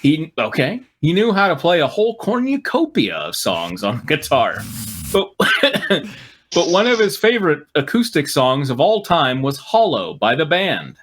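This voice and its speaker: A man's voice: on the high side, huge pitch swings, measured pace, fairly bright tone. A man reading fan fiction aloud as a comic host, narrating mock-dramatically and breaking into wry asides. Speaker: reader and riffing co-host.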